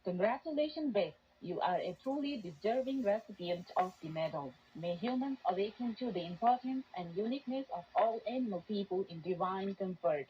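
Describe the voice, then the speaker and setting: A woman's voice: medium pitch, animated, a little fast, hardly any pauses, dark tone, highs cut off, a little hiss or hum. A woman presenting scripted news narration, heard through a shortwave radio broadcast.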